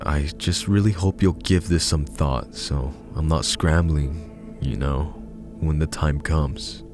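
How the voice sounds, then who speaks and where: A man's voice: extremely low pitch, steady tone, takes it slowly. A man voicing a sweet, chirpy grown daughter who coaxes and cares, in a horror story narration. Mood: sweet, coaxing. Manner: chirpy, coaxing. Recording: music behind the voice.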